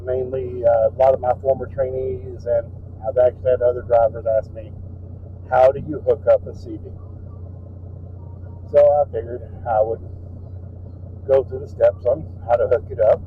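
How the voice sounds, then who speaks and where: A man's voice: mid-range voice, level tone, moderate pace, long pauses. A plain-spoken man with folksy phrasing gives an informal, step-by-step hands-on tutorial from inside a truck cab. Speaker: truck driver and trainer giving a tutorial. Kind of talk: informal hands-on how-to narration. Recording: tinny phone-like audio with background noise.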